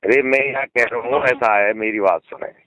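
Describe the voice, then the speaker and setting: A man's voice: mid-range voice, moderate pace. A blunt man, arguing combatively and cutting in during a phone conversation. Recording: phone call recording, dry sound.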